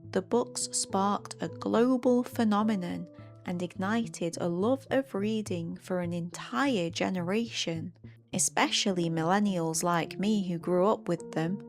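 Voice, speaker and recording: A woman reading written online comments aloud in a measured, earnest way. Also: on the low side, faint music behind.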